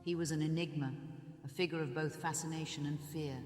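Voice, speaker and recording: An actor voicing a dutiful, wary box keeper at an opera house, narrating in an earnest, measured way. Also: mid-range voice, little pitch movement, darkish tone, natural voice. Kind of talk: audio drama monologue. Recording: echoey hall reverb with faint music.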